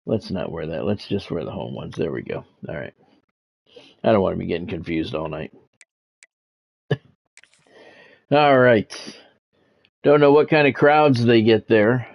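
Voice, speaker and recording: A man's voice: lowish voice, lively intonation, unhurried, creaky, long pauses, muffled recording. A man giving casual live video game commentary with sportscast touches, talking conversationally and thinking aloud. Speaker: video game streamer and play-by-play announcer.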